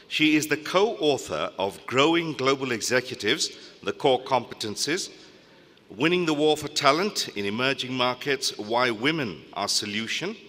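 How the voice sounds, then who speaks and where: Male emcee, bright clear voice, announcing over a hall microphone with long reverb. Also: mid-range voice. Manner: announcing, reads out credentials. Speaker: event emcee. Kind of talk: formal introduction. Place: large conference hall.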